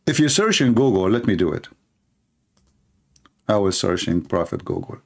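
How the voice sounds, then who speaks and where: A man's voice: on the low side, lively intonation, moderate pace, gravelly, darkish tone. A man hosting a live stream, talking in a sarcastic, mocking way and mimicking others in funny voices. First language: Arabic.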